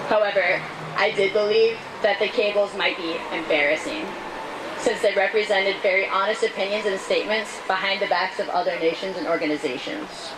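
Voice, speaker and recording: A woman reads a prepared statement aloud in a measured, earnest way, as a public speaker at a rally. Her pitch is medium, and the outdoor recording carries clear street noise behind her. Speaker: speaker reading a testimony at a rally. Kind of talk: reading prepared testimony aloud.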